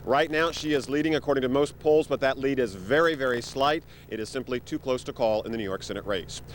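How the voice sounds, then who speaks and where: A man delivers a live broadcast political report in a mid-range voice. Faint traffic rumble sits behind him, as from an outdoor location. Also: fairly quick.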